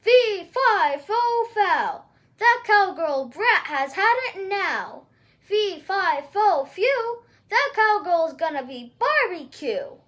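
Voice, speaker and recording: Woman playing a loud, blustering storybook giant. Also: sing-song, heavily acted. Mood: angry, menacing. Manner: booming chant, drawn-out shouted threats. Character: huge, mean, blustering cowgirl-eating male giant.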